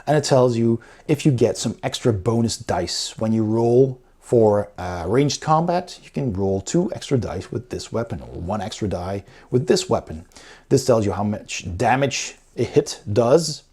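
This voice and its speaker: Husky, mellow man reviewing games casually. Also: lowish voice, animated, unhurried. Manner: enthusiastic, informal. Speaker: board game reviewer, host. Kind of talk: casual unscripted product review commentary.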